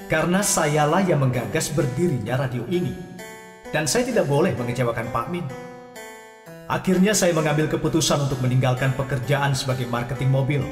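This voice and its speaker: A man's voice: a little high, far from the mic, lightly acted. A sincere, reflective young man recalls his past, as a man plays him in an audio drama. He is set back from the mic, in a room that echoes, with guitar music under the voice. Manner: earnest, reflective storytelling. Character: young male radio founder Ken, earnest. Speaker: narrator.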